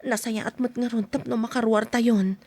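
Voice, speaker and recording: A woman playing a husky, high-voiced caring mother. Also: fairly even intonation, normal speed, darkish tone. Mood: practical. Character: caring wife and mother, anxious about money, soft-spoken. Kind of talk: podcast drama dialogue.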